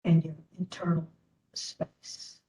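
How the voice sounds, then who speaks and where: Lady doing guided meditation, really slow n gentle, mellow voice, lots of pauses. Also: low-pitched, completely flat delivery. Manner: gentle, unhurried with long pauses. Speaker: meditation and yoga instructor.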